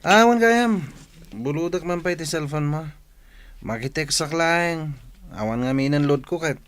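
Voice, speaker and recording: A man's voice: a little high, a little slow, lightly acted. A man with an Ilocano accent playing a teasing, sly, pushy young cousin in a radio drama, boisterous and wheedling. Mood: cajoling.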